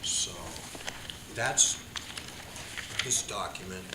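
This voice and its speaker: A man chairing a budget subcommittee meeting, speaking haltingly with many fillers and restarts. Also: deep voice. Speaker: committee chair. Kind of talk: public meeting discussion. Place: meeting room. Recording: echoey room, loud noise.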